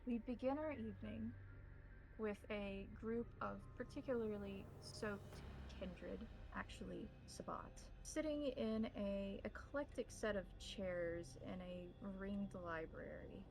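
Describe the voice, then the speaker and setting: A woman narrating a tabletop role-playing game as game master, in measured, formal wording. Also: medium pitch, vocal fry, poor audio.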